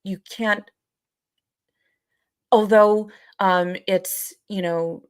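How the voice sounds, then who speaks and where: A woman co-hosting a podcast in casual conversation, speaking in a halting way with frequent pauses. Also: medium pitch, steady tone, clean tone, dry recording, studio quality. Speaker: podcast co-host.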